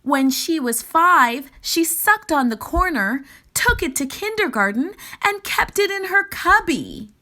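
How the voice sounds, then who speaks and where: Woman, children's read-aloud, slow pace, very lively intonation, expressive storyteller. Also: very high voice. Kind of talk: scripted picture-book read-aloud.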